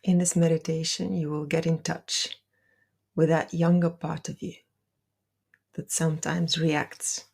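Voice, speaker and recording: A woman leading a guided meditation, giving gentle, deliberate instructions with soft, breathy phrasing. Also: low voice.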